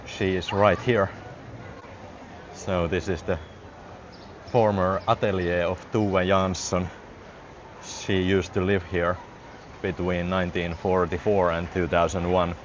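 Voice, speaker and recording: Finnish guy livestreaming from his phone on a loud street, low voice, dull lo-fi sound. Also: takes it slowly, a little hiss or hum. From Finland. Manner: relaxed, chuckles often, talks to viewers. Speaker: livestream walking vlogger. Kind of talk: casual live-stream chat. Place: outdoors on a busy city street during a parade. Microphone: handheld phone.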